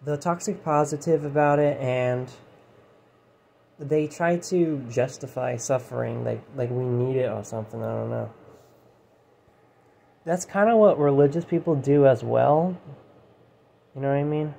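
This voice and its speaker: Mellow, rambling man with a fraying voice. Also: mid-range voice, measured pace, gravelly, long pauses. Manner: rambling, profane, full of "you know". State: voice going hoarse. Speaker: channel host. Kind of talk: casual rambling monologue. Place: his room, indoors. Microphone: phone set down nearby. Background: heater hum.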